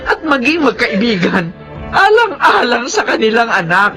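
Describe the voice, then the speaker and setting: Animated older mother. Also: a little high, moderate pace, music in the background. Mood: scolding, pleading. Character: concerned older mother, peacemaking and admonishing grandmother. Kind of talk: Filipino radio drama acting.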